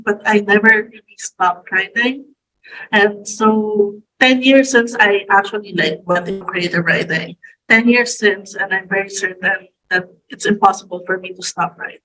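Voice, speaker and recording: A young Filipino woman, a native Tagalog speaker with a Filipino accent, talking in a halting way with many fillers and restarts over a video call. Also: mid-range voice, fairly even intonation, sharp tone, echoey room, slight background noise, rough recording. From Philippines. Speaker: guest writer. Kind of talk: casual conversation. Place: room at home.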